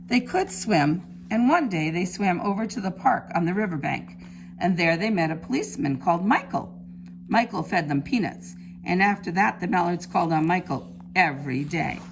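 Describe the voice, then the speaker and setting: A woman preschool teacher reading a story aloud to young children in simple, deliberate phrases. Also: lowish voice, vocal fry, low-quality recording. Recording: faint background noise.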